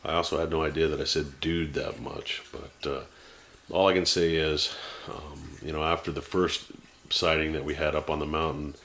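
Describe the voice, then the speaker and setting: A man's voice: rumbling low, level tone, normal speed, fairly bright tone, a little hiss or hum. A man in his forties talking unscripted to a camera at home, rambling with many fillers and restarts and turning heated. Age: 40s. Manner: grows heated and ranting. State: teary-eyed. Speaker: vlogger.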